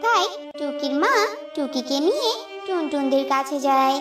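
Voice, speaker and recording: A storyteller narrates a children's cartoon fable in a very high, childlike pitch. The delivery is scripted storytelling. The sound is echoey and somewhat distant, with clear music underneath. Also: lively intonation, moderate pace.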